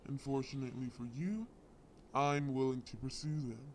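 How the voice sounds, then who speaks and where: An actor playing a smug, lovesick crime lord in an audio roleplay, drawling and long-winded with polite menace. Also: male voice, mid-range voice, moderate pace, a little hiss or hum, natural voice. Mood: smug, menacing. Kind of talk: scripted audio roleplay acting.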